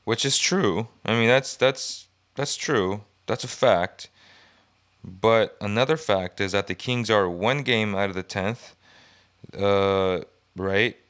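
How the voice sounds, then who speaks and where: A young adult man hosting a sports podcast, hoarse and raspy from a cold, giving frustrated, emphatic commentary. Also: on the low side, slow, a bit bright. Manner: frustrated, emphatic, thinking aloud. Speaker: podcast host.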